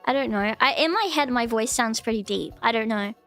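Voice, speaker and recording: A woman chatting casually on her live stream, with a cute, high voice, rambling and giggling through restarts and fillers. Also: brisk, a bit bright. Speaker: VTuber streamer. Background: faint background music.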